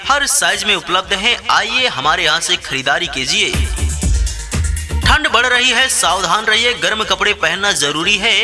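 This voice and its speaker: A man's voice: high-pitched, animated, normal speed, pushed and bright. A man reading a scripted promotional announcement for a street sales advert, in short, insistent slogans. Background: music.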